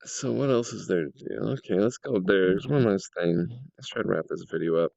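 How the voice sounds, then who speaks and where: Low gravelly man with a sick raspy voice. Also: animated, moderate pace, a little mellow, dry recording, muffled recording. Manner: rambling, thinking aloud with fillers. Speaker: game world creator. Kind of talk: casual gameplay commentary.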